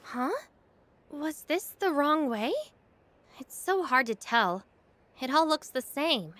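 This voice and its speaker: A woman playing an earnest, stubborn young princess in a video game cutscene, with a gentle, measured way of talking. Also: sits high, expressive, no echo, exaggerated voice. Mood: puzzled.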